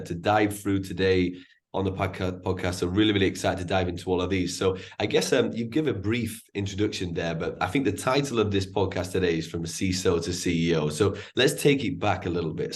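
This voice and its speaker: A man hosting a podcast, introducing his guest and asking questions in an upbeat, repetitive way. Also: deep voice. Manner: upbeat, repeats words, enthusiastic. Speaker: podcast host. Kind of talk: casual podcast interviewing.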